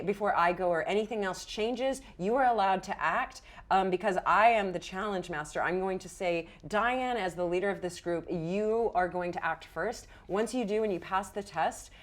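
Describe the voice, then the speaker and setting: A woman's voice: medium pitch, moderate pace. A woman acting as game master, explaining rules and narrating a tabletop roleplaying game in a casual, improvised way.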